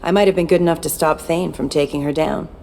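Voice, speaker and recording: A woman's voice: sits low, steady tone, fast talker, darkish tone, slight background noise. A woman playing a tough, cynical former security chief in video game dialogue, blunt and guarded. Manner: blunt, matter-of-fact.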